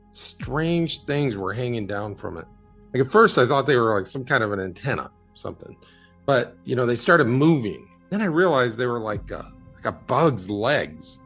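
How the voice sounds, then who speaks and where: This is a haunted, earnest man played by an actor in a radio play. His medium-pitched voice is gravelly, and he tells his tale haltingly, as someone still shaken. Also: fairly bright tone. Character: haunted, earnest male UFO witness and author. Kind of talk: audio drama monologue.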